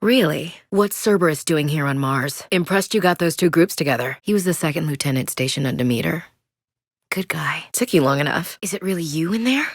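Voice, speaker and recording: An actress voicing a tough, blunt young female soldier in a video game, plainspoken and loyal, shouting short orders in combat. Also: sits low, expressive, brisk, dry recording, studio quality. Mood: candid. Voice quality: plainspoken and direct. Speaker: squad soldier. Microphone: close studio mic.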